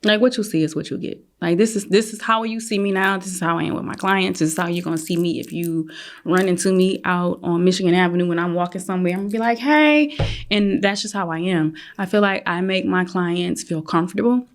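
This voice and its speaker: A woman's voice: fairly low pitch, brisk. A woman in her forties from Detroit, a photographer guest chatting casually and laughing easily on a podcast. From Detroit, USA. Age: 40s. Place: brick-walled podcast studio. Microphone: desk mic on stand.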